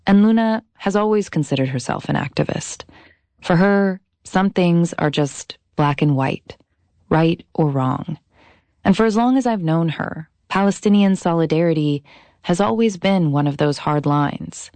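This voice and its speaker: A woman in her thirties hosting and narrating a scripted documentary podcast, measured and deliberate, slowing down on key phrases. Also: on the low side, animated, a little mellow, dry recording.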